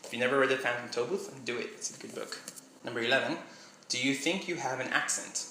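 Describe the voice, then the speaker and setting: A man's voice: medium pitch, fast talker, sharp tone, roomy sound, slight background noise, poor audio. A young man from Miami with a slight Miami accent, chatting casually in a vlog. He rambles and trails off with "I don't know.". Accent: slight Miami English. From Miami, USA. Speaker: vlogger. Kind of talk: casual vlog chat, short read-aloud passage.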